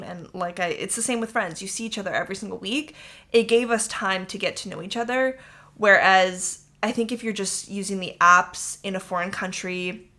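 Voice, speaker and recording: A woman's voice: medium pitch, pushed and bright. A young woman hosting her own podcast, chatting casually and rambling in an upbeat way. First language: English. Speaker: podcast host. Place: warm apartment room.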